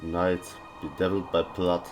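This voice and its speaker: A man's voice: sits low, little pitch movement, moderate pace, music in the background. A man giving casual, chatty and irreverent commentary as he plays a video game. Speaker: gaming streamer.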